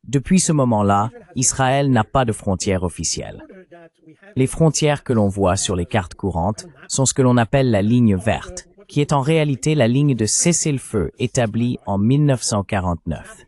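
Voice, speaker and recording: A man reading a measured, explanatory French voice-over of an interview. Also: mid-range voice, expressive, darkish tone. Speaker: translation voice-over.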